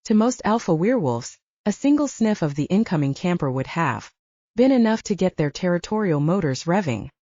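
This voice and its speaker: Lady reading an audiobook story, mellow lowish voice, really animated delivery, first person fiction. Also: brisk, no echo, lo-fi sound. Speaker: audiobook narrator.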